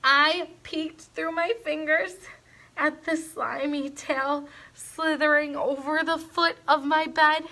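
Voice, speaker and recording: A woman reading a storybook aloud to young children as a teacher would, expressively and deliberately. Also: high voice, fairly even intonation, pushed and bright.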